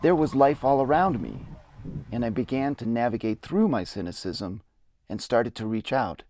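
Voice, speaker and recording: A man's voice: mid-range voice, lively intonation, moderate pace, a little mellow. A man giving a reflective, earnest dramatic reading of his own memoir. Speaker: memoirist narrator. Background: music.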